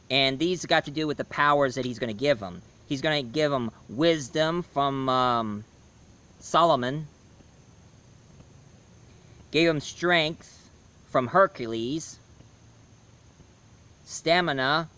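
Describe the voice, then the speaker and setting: A man giving a casual spoken review, hoarse, rambling and correcting himself mid-sentence. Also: medium pitch, slow pace, pauses often, pushed and bright. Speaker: movie and comics reviewer.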